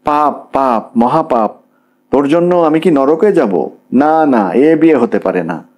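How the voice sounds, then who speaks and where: A man's voice: a little high, lively intonation, unhurried, darkish tone, voice pushed up. A man voicing an anxious middle-aged mother who scolds and moralizes in exclamations. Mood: shocked, scolding.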